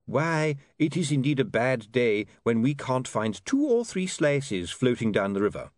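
A man's voice: mid-range voice, sing-song, measured pace, no room sound, pitched above the performer's own voice. A man voicing a fussy, overly courteous male beggar in an audiobook. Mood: genteel. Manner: polite, affected, overly courteous. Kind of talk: audiobook character voice.

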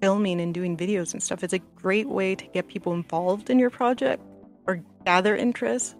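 A woman's voice: mid-range voice, moderate pace. A woman chatting casually as a panelist on a podcast, in loose conversational phrases. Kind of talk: casual chat. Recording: faint music underneath. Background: soft background music.

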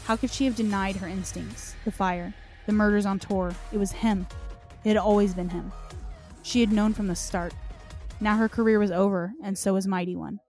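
A woman storyteller reading scripted horror narration dramatically, in deliberate short phrases. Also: medium pitch, steady tone, darkish tone, soft background music.

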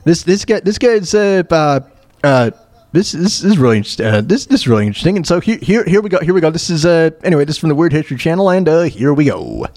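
A man hosting a talk show, giving blunt, emphatic opinions on news clips in a halting, repetitive, rambling way. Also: on the high side, big pitch swings, darkish tone. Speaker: show host.

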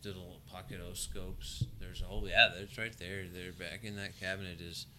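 Very low-voiced man, bright tone. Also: level tone, a little hiss or hum. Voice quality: low, mumbling voice. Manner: halting, restarts and trails off. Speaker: engineering professor, guest. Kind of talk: casual conversation. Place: university lab or office. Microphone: shared podcast mic.

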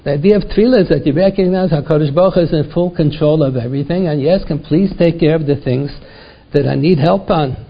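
Gravelly older man giving a Torah lecture. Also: fairly high pitch, fast. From United States. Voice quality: gravelly, husky. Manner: repeats words, Yiddish and Hebrew terms, rhetorical questions. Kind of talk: lecture to a congregation. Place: synagogue lecture. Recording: dull lo-fi recording.

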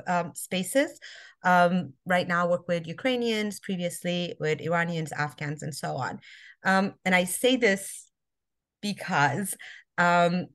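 A woman from Iran, a podcast guest, answering interview questions with many pauses and ums. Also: on the low side. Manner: hesitant, many ums and restarts. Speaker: podcast guest, social justice activist.

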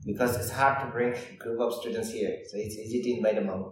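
A man who is a Buddhist monk answering interview questions in halting non-native English, often adding "you know.". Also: lowish voice, steady tone, a little hiss or hum. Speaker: Buddhist monk and meditation teacher. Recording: roomy echo, far from the mic, faint ambience.

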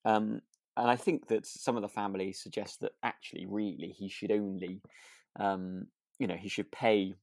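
A man's voice: fairly low pitch, fairly even intonation, moderate pace, vocal fry, a little mellow, dry recording. Casual male podcast co-host, close dry sound. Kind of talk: casual chat about a radio drama.

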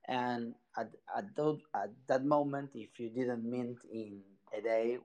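Argentine man speaking halting English. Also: mid-range voice, fairly even intonation, warm tone. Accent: Argentine Spanish. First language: Spanish. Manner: halting, searching for English words. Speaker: NFT project founder, guest. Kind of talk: casual online audio chat.

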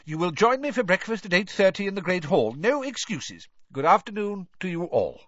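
A man voicing an old, kindly wizard headmaster, measured, courteous and dryly witty. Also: high-pitched, big pitch swings, fairly bright tone, no echo, muffled recording, pitched above the performer's own voice, full character voice. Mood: brisk, firm. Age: older.

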